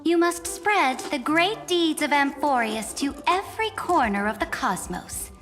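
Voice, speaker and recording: Slow, haughty high-voiced female ruler. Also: expressive. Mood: grandiose. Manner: regal, pompous, measured pronouncements. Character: haughty imperious young female ruler, grandly amused. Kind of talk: video game voice acting. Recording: long reverb, music behind.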